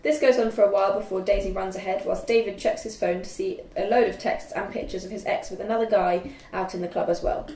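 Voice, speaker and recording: A young woman reading screen directions as narrator at a script table read, halting and stumbling a little over the text. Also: mid-range voice, fairly even intonation, dark tone, a little hiss or hum. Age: young adult. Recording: echoey room.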